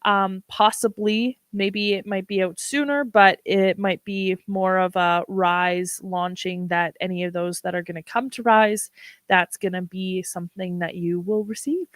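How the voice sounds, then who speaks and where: A woman hosting a livestream, talking casually with a froggy, hoarse voice and many ums. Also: mid-range voice, fairly even intonation, no room sound. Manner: unhurried, with frequent ums. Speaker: livestream host.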